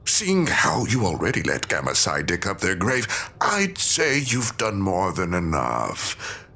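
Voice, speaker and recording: Guy doing gruff older man, very creaky low voice, slow and dry, audio drama. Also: wildly swooping pitch, bright, forceful tone, full character voice. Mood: menacing. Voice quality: gravelly.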